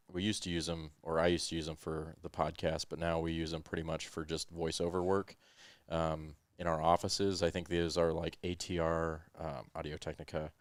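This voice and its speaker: Man, very low voice, smooth and resonant, casual rambling tech talk, headset mic. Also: dry recording. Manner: relaxed, rambling explanations with frequent ums and uhs. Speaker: podcast host. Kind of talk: casual explanatory tech chat. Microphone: headset microphone with headphones.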